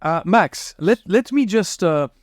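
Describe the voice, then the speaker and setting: A man's voice: high-pitched, lively intonation, unhurried, slight background noise. A man hosting a podcast interview, speaking casually with many fillers and restarts. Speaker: podcast host.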